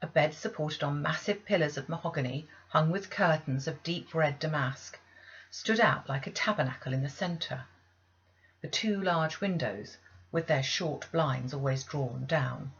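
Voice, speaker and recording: A woman's voice: deep voice, husky, highs cut off. A woman reading a classic novel aloud as scripted literary audiobook narration. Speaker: audiobook reader.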